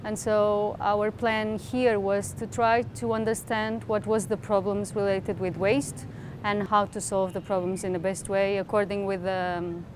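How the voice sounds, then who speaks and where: A woman's voice: flat delivery, a little slow. A woman in her thirties with a Portuguese accent, answering as a waste management expert in an outdoor interview. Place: outdoors above a town. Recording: clear outdoor traffic rumble.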